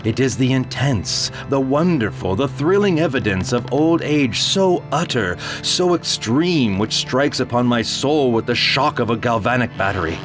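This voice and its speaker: A man's voice: mid-range voice, lively intonation, normal speed, hardly any pauses. A man narrating a first-person audio drama in a grave, theatrical manner. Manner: grave, theatrical, rising to frantic cries. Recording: music bed under the voice. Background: music.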